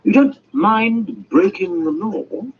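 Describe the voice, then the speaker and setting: A man voicing a brisk, confident Victorian gentleman detective in a storybook. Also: high-pitched, expressive, husky, voice pushed up, full character voice. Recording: muffled, noisy amateur audiobook recording.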